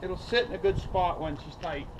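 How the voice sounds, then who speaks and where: Middle-aged man, casual how-to talk, slightly high voice, loud outdoor background noise. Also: moderate pace. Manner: relaxed, thinking aloud while working. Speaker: kayak fishing vlogger. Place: outdoors in a gravel storage yard.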